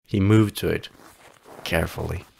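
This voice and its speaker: A man narrating a fantasy audio play in a theatrical, solemn storytelling manner with deliberate, dramatic phrasing. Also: low-pitched, steady tone, gravelly, darkish tone, husky.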